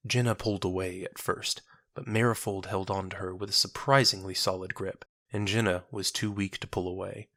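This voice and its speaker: A man narrates a scripted drama in a lowish voice with a bright, forceful edge. The sound is close and dry, as in an audiobook. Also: steady tone, normal speed, dry recording.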